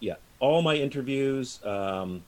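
A man in his early sixties explaining things informally as an interview guest, in a halting way that repeats words. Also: mid-range voice, expressive. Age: early 60s. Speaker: interview guest, movement teacher. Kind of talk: casual explanatory interview talk.

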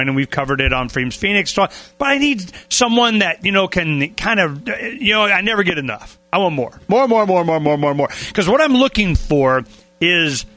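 A man hosting a talk show in a casual, excitable way, playfully firing quick questions at his guest and cutting in. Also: high voice. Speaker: radio talk show host. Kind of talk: casual interview chat.

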